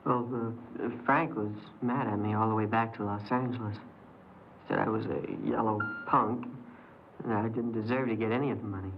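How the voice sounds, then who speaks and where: An actor playing a frightened, remorseful fifteen-year-old runaway boy in a 1950s radio crime drama, speaking haltingly. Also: on the low side, fairly even intonation, highs cut off, noisy background, lightly acted. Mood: subdued, halting. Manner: hesitant, halting, stammering.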